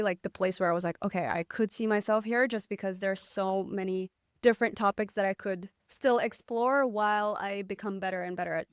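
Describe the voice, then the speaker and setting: A young woman from Poland, a podcast guest, talking in a reflective, relaxed way with light laughs. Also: medium pitch, clean tone, no room sound, clean studio sound. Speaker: guest, agency founder. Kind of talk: podcast interview.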